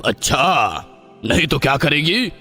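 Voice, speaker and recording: A man playing a cruel, scornful bully in an animated moral story, menacing in manner, with a reverberant recording. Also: a little high, takes it slowly, husky, full character voice. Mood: scornful anger. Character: cruel, bullying boss and callous official, adult man. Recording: long hall reverb, music behind.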